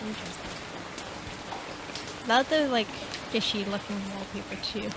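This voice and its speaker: A woman's voice: mid-range voice, a little slow, heavy background noise. A woman hosting a game playthrough, commenting and reading on-screen text aloud with some stumbles. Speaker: gaming video host. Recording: loud game music behind voice. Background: loud music from the game.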